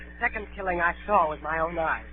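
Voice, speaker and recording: A man's voice: a little high, brisk, background noise, natural voice. An actor playing an earnest boy adventurer, telling his perilous tale in clipped, dramatic 1930s radio narration. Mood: tense. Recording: tinny 1930s radio broadcast.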